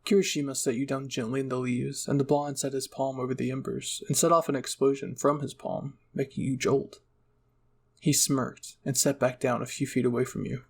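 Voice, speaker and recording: A man's voice: mid-range voice, little pitch movement, moderate pace, gravelly, husky. A man narrating a second-person roleplay story as a channel host.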